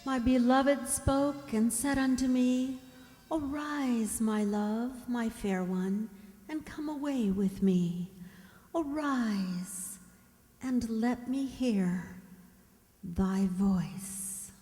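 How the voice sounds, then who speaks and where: A woman playing a frightened young mute woman who finally speaks in halting words, in an amateur radio play. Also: a little high, warm tone. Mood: dreamy, tender. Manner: halting, deliberate, one word at a time. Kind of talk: amateur radio drama acting. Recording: very echoey hall, long reverb.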